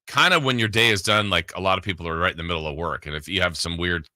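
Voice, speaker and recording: Bright, forceful man hosting sports radio. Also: sits low, fast, no echo, studio quality. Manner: rambling, conversational. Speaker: sports radio show host. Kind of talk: casual chat on sports talk radio. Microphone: close studio broadcast mic.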